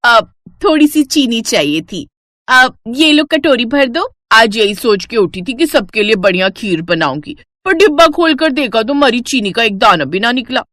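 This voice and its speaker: Shrill, wheedling neighbor auntie, put on by a storyteller; pushy and talkative. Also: female voice, big pitch swings, moderate pace, fairly bright tone, dry recording, clean studio sound. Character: pushy middle-aged neighbor woman, scrounging.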